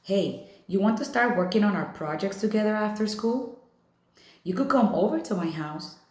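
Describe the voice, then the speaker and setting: An earnest young schoolgirl from a storybook, acted out by a woman reader. She is friendly but quick to take offence, and she speaks in an animated, insistent way. The room adds a clear echo. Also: medium pitch, voice pushed up, natural voice.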